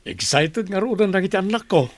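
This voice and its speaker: A man's voice: high voice, lively intonation, normal speed. A man acting a cheerful, doting middle-aged father in an audio drama.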